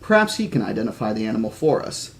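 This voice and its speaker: A man reading as a measured, formal male doctor. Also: lowish voice, very animated, gravelly, voice pushed down. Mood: measured, reassuring.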